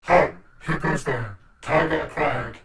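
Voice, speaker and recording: A man voicing a menacing sci-fi robot sentry in slow, commanding, clipped phrases, with heavy robotic vocoder processing. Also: on the high side, creaky, a loud crowd, pitched above the performer's own voice, full character voice. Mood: stern alert. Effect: heavy robotic vocoder and ring modulator processing. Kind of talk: sci-fi game-style character lines.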